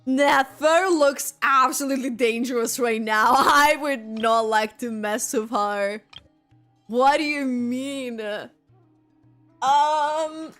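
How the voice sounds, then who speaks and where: A woman's voice: sits high, slow pace, a bit bright. A woman doing casual gaming commentary as she reacts to a video game. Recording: game music behind. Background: video game music.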